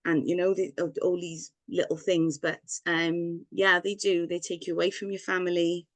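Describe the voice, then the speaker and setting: A woman's voice: lowish voice, little pitch movement, normal speed, no echo. A woman from Wales, a guest telling her own story in a rambling, candid way with frequent laughs. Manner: rambling, personal, laughs often. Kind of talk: candid personal story in interview.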